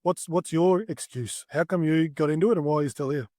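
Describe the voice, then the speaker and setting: Quick-talking man, higher-pitched podcast co-host. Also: dark tone, no room sound, studio quality. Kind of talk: casual chat.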